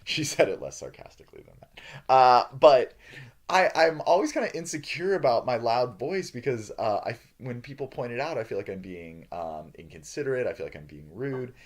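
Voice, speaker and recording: Booming, animated male professor. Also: normal speed. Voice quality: loud, booming voice. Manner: earnest, lively, frequent "uh" and "um" fillers. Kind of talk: lecture on giving feedback.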